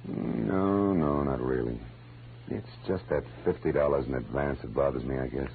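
Deep-voiced hard-boiled American private eye. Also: male voice, normal speed, slight background noise. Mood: dry, probing. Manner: terse, dry, wry. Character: hard-boiled male private detective, wry and dogged. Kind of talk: 1940s radio drama acting with first-person narration. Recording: muffled old-time radio recording with music.

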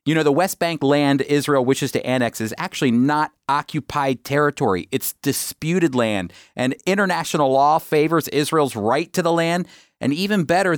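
A man's voice: dry recording, clean studio sound. A man hosting a radio program and teaching the Bible in an earnest, emphatic way, lifting his voice on key points. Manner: earnest, emphatic, with pitch climbing on key phrases.

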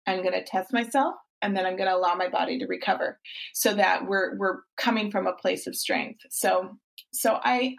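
A woman's voice: mid-range voice, vocal fry, low-quality recording. Encouraging woman podcast host. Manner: warm encouraging coaching talk, frequent restarts. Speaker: podcast host and fitness coach. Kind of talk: casual interview chat.

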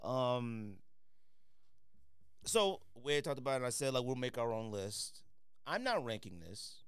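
A man's voice: mid-range voice, a little slow, clear, resonant tone, no echo. A man co-hosting a podcast, telling stories and bantering about sneakers in casual, slangy talk. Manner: slangy, animated storytelling. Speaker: podcast co-host.

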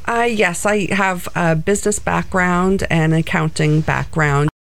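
Middle-aged woman, New Jersey accent, earnest interview guest, a little low-pitched. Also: a little slow, creaky. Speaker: guest, co-owner of flight center. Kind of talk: interview answers.

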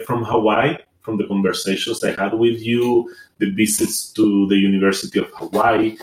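Low-voiced man in an echoey room. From Rapa Nui. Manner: hesitant, thoughtful, many fillers and restarts. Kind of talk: conversational interview.

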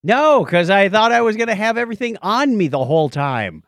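A man's voice: high-pitched, big pitch swings, brisk, fairly bright tone, no room sound, clean studio sound. A man co-hosting a podcast, chatting casually and telling personal anecdotes. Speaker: podcast co-host.

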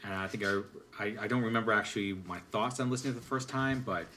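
A man in his forties chatting casually as a panelist, telling stories in a halting way. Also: fairly low pitch, bright, forceful tone, slight background noise. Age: 40s. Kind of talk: casual chat.

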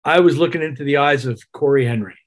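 A man's voice: mid-range voice, fast. A man speaking as a musician guest in an interview, reflective and conversational, repeating phrases for emphasis. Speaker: interview guest, keyboard player.

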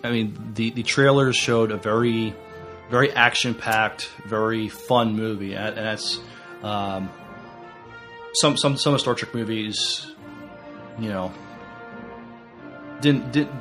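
A man co-hosting a podcast, talking casually about films in a halting, stammering way. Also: fairly low pitch, over music. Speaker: podcast co-host. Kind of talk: casual film review chat.

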